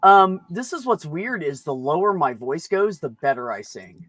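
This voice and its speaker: A man with a slightly high voice talks in a casual group chat. He is blunt and chatty and swears freely, and the sound is dry and close. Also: sing-song, moderate pace, fairly bright tone, no room sound.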